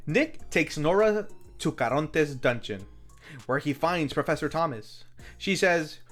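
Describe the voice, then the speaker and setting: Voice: medium pitch, measured pace, faint music behind. A host narrating a scripted comedic film recap in a wry, storytelling way. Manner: wry, animated storytelling with acted quotes. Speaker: host of a film review series.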